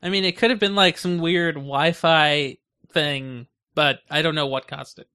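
A man's voice: a little high, steady tone, a little slow, sharp tone, smooth. A man co-hosting a podcast in casual chat, telling things in a rambling, conversational way. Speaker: podcast co-host.

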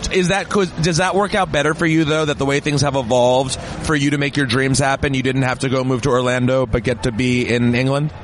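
A man's voice: medium pitch, flat delivery, fairly quick, hardly any pauses, a little hiss or hum. A man hosting a casual interview, rambling and restarting his questions. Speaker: podcast host. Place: busy fan event.

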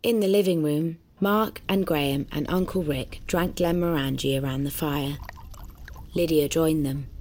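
A woman narrating a scripted literary story with a husky voice. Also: sits low, a little slow, a little mellow.

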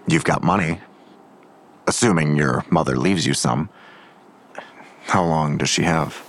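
Blunt tired dad in an audio drama, super deep voice, dry and clipped. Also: male voice, unhurried, heavily acted. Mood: dry, resigned.

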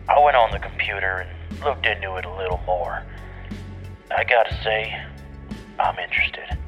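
A man's voice: lowish voice, gravelly. A man playing a folksy, plainspoken older outdoorsman leaving a voicemail in an audio drama. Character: folksy older outdoorsman offering his tracking services. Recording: muffled radio-like call, music behind.

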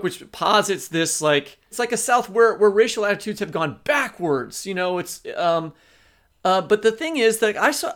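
A man with a slightly high voice hosts an interview podcast. He talks in a discursive way, often reworking his phrasing as he goes. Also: a bit bright. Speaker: podcast co-host. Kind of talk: introduction and interview questions.

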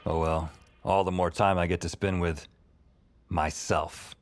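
An actor playing a sleepless, lonely night worker, narrating an audio drama in a wry, self-deprecating, conversational way. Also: male voice, sits low, level tone, measured pace, very noisy. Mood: wry weariness. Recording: music behind voice.

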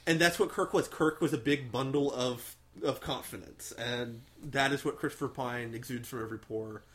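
A man's voice: mid-range voice, moderate pace, a bit bright. Guy hosting a movie podcast, talks in rambles, lots of ums and restarts, super into it. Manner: rambling, enthusiastic, many fillers and restarts. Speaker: podcast host. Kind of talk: casual movie review chat.

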